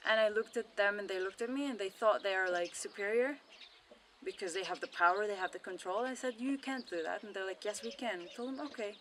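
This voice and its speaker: A woman's voice: mid-range voice, brisk, fairly bright tone, slight background noise. A young woman telling a casual anecdote with quoted dialogue in a defiant, matter-of-fact way. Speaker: documentary interviewee.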